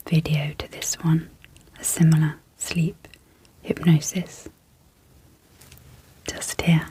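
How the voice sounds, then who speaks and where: A woman speaking in a breathy, whispery hush, gentle and measured with long pauses, guiding an ASMR sleep hypnosis as host. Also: low-pitched. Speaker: ASMR host and clinical psychologist.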